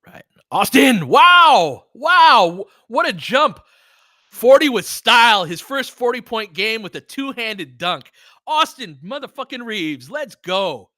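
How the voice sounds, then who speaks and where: A man calls a basketball game play by play in an informal fan style. His voice is bright and forceful, and his pitch swings widely as he gets worked up and swears freely. The recording is dry, with no room sound. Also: slow, no room sound. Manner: excitable, whooping and swearing, partisan. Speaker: play-by-play commentator and host.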